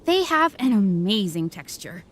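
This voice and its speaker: A woman's voice: medium pitch, big pitch swings, unhurried, fairly bright tone, slight background noise. A woman playing a polite young restaurant waitress in a video game, courteous and eager to recommend. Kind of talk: video game character acting.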